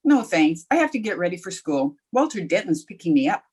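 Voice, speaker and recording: A sharp, wry female English teacher, played by an actress in an old-time radio comedy. Her delivery is highly animated, swinging up and down in pitch as she lands dry, sardonic quips. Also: brisk, breathy edge. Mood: brisk, wisecracking. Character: wry, quick-witted female high school English teacher.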